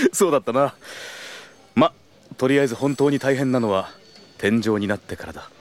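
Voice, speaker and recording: A young man voicing a strict but caring senior colleague who speaks in a brisk, composed, mentoring way in an audio drama. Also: mid-range voice, big pitch swings, natural voice. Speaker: senior tour conductor.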